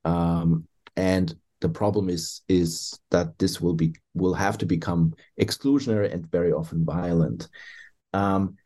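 A man, an author and historian, answering interview questions in a considered, hesitant way with many pauses and restarts. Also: low voice, fairly even intonation, mellow, husky.

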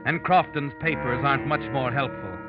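An actor playing a knowing, taunting storyteller, narrating an old radio drama ominously in the second person. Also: male voice, mid-range voice, moderate pace, natural voice. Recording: dull vintage radio recording, music behind. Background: dramatic music.

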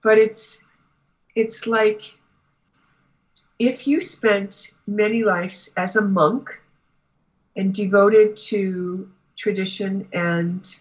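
A woman gives a spiritual reading at a slow, measured pace, pausing often between phrases. Her voice is husky, and the recording is somewhat muffled. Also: medium pitch, steady tone. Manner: halting, deliberate phrasing with long pauses. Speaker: Akashic Records reader, guest. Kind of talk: spiritual reading given to a client.

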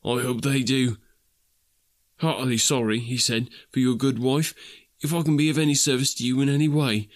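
A male narrator gives voice to a gentle, grieving family man from a classic storybook. His pitch is lifted and his delivery is earnest and emotional. The recording is dry and close. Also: fairly even intonation, moderate pace, no echo, lightly acted. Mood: grateful, warm. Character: Bob Cratchit, tender, grieving, kindly working-class father.

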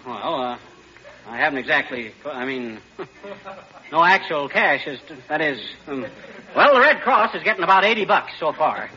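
An actor playing a blustering, folksy middle-aged husband and comic schemer in a 1940s radio comedy. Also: male voice, on the high side, sing-song, normal speed, pushed and bright. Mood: hustling, sheepish. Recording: noisy, dull old radio recording.

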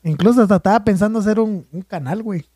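A man's voice: sits high, fast talker, dark tone, breathy edge, no echo, studio quality. A young man with a Mexican accent rambling in casual podcast chat, slangy and swearing freely. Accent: Mexican Spanish. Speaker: podcast co-host.

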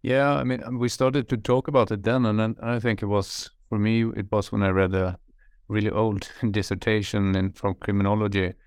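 A man's voice: lowish voice, level tone, moderate pace, smooth, dry recording, clean studio sound. A man from Sweden, answering interview questions as an author in a hesitant, self-deprecating way with many fillers and restarts. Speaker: author, media scholar.

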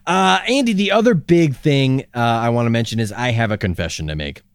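Dry, smooth male co-host delivering deadpan improv bits for a comedy podcast, close and dead-sounding. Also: mid-range voice, brisk, no echo. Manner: deadpan, riffing absurd confessions.

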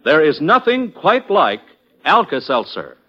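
A man with an American accent reading a scripted 1940s radio advertisement in a polished, persuasive, measured announcer's delivery. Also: a little high, wildly swooping pitch. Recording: muffled vintage radio recording.

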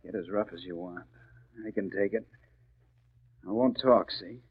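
An actor playing a stubborn, tough captive officer, terse and defiant, in a 1940s radio drama. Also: male voice, deep voice, normal speed, husky. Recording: dull, noisy old radio recording.

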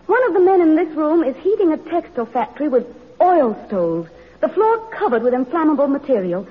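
High-voiced, impassioned woman reformer. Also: sing-song, fairly quick. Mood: impassioned, challenging. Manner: formal, emphatic oratory. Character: earnest, determined woman social reformer, idealistic and passionate. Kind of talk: vintage radio drama acting. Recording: dull old radio broadcast recording.

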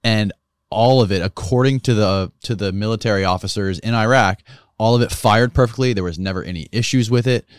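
A man hosting a podcast, asking curious and thoughtful questions in a relaxed conversational way. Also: fairly low pitch, a little fast, clean tone, no echo, studio quality. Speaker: podcast host.

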